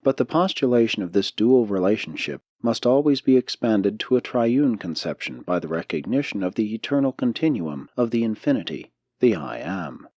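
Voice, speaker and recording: A man narrating a spiritual audiobook in a solemn, measured way. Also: on the low side, lively intonation, mellow, dry recording, dull audio. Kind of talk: scripted narration of a spiritual text.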